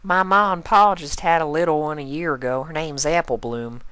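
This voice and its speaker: Lady doing a plain-spoken southern country pony girl, friendly, folksy, pitched up. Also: moderate pace, a bit bright, clean tone, no echo. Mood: neighborly. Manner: folksy, forthright.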